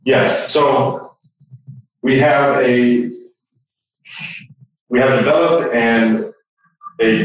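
A man giving an informal technical explanation as a product expert, halting as he searches for words. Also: mid-range voice. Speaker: product expert guest demonstrating. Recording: echoey room, distant from mic.

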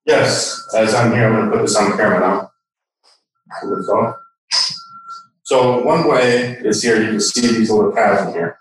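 A man's voice: on the low side, moderate pace, pauses often, a little hiss or hum. Distant, echoey man demonstrating equipment. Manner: informal, pointing things out. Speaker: product demonstrator. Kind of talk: hands-on technical demonstration. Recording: distant mic in very echoey room.

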